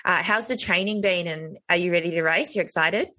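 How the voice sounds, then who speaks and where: A woman's voice: on the low side, brisk, bright, forceful tone, dry recording. A woman hosting an interview, asking questions in an upbeat, encouraging way. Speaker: interview host. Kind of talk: casual interview chat.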